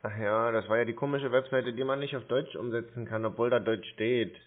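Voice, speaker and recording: A man on a phone call, relaxed and playfully stalling, slowly spelling things out to a caller. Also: mid-range voice. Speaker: scam-baiting call recipient. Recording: phone-line audio.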